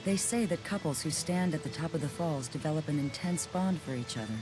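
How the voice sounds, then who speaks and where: A woman plays an age-old ice spirit from a game, proud and detached. Her low female voice is cool and formal, with curt phrasing. Music runs behind the dialogue. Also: brisk, natural voice. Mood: nostalgic. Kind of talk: video game cutscene voice acting. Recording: music under the voice.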